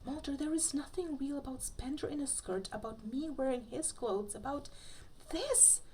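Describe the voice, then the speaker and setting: A woman's voice: high voice, normal speed, warm tone, a little hiss or hum, pitched above the performer's own voice, natural voice. A woman voicing a frantic, exasperated female FBI agent, urgent and emphatic, in a story read aloud.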